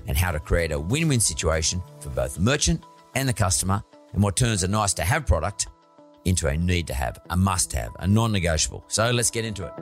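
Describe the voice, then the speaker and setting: A man's voice: deep voice, big pitch swings, fairly quick, faint music behind. A man hosting an interview podcast. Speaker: podcast host.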